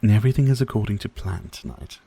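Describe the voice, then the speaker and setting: A man playing a suave, sadistic vampire host in an intimate ASMR roleplay, courtly and mocking, with seductive drawn-out pauses. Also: on the low side, sing-song, gravelly, dark tone, a little hiss or hum. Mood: sinister, taunting. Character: suave sadistic male vampire host toying with his prey.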